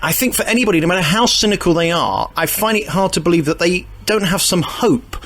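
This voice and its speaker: Male radio host, fairly high voice with a bouncy, lively delivery, blunt and teasing. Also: fairly quick. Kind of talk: casual interview questions.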